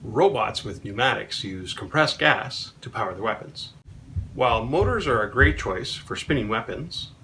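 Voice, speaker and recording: A man's voice: fairly low pitch, expressive, normal speed, pushed and bright, slight background noise, poor audio. A man in his forties reading a children's book aloud. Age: 40s. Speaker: robot combat team member.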